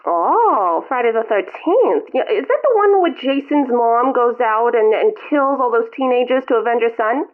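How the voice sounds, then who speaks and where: A woman's voice: fairly high pitch, expressive, moderate pace, hardly any pauses, natural voice. A woman voicing a melodramatic, guilt-tripping elderly mother calling her son from a retirement home, over a phone line. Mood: nagging. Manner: melodramatic, whiny, self-pitying.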